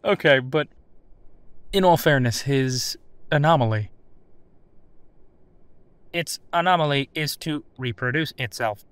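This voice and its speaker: A man's voice: medium pitch, a little slow, long pauses, a bit bright, smooth, dry recording, close to an everyday voice. A man playing a military captain in an audio drama interview, casual and wry, with a conversational, probing way of talking.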